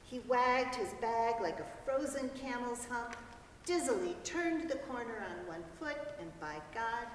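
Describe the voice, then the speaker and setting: A woman's voice: on the high side, animated, measured pace. A woman giving a dramatic reading of a descriptive literary story. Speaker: reader in a church's dramatic reading. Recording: very echoey hall, distant mic, faint noise.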